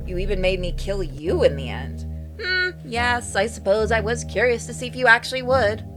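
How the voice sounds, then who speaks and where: A woman's voice: fairly high pitch, natural voice. A woman voicing a playful, smug supernatural cat from a horror game, teasing its prey with theatrical, leisurely condescension. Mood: playfully defensive.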